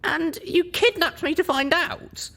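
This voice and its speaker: A man performs a young storybook mare with a very high voice. She sounds annoyed and sarcastic, pushing back with sharp challenges. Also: unhurried, creaky, full character voice. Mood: sarcastic, challenging. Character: young female pony wanderer, annoyed and sarcastic.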